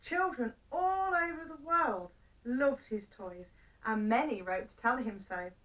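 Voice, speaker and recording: A woman storyteller reading a children's story aloud in simple phrases with deliberate pauses. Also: on the high side, animated, a bit bright, recorded from a distance, poor audio.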